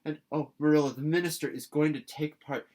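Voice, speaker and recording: A man voicing an excitable, dramatic young orphan girl in a storybook reading, gushing and pleading earnestly. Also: on the high side, a little fast, pitched above the performer's own voice, natural voice.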